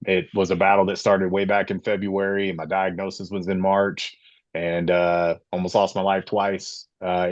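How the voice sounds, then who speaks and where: A man's voice: sits low, no echo, highs cut off. A man in his late forties from Florida, hosting a casual sports podcast and telling his own story with passion. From Florida, USA. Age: 40s. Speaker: podcast host.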